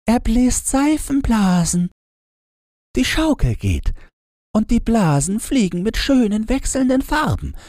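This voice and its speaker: A man's voice: sits high, a little mellow, no echo, studio quality, voice pushed up, heavily acted. A man voicing a small, dreamy snow flower from a fairy tale, reciting in a light, lilting way.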